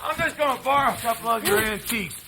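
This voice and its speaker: An actor playing a rough, volatile drifter son in a stage play, with a gravelly American voice and a defiant, folksy bluster. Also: male voice, very high voice, fast talker, noisy background. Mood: glib, dismissive.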